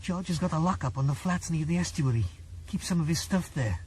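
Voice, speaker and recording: An actor playing Yorkie, a jumpy, exasperated Welsh taxi driver in a 1980s radio drama. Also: male voice, a little high, animated, a little mellow, breathy edge. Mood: grumbling. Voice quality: jumpy, exasperated, quick to protest. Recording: radio drama with traffic noise.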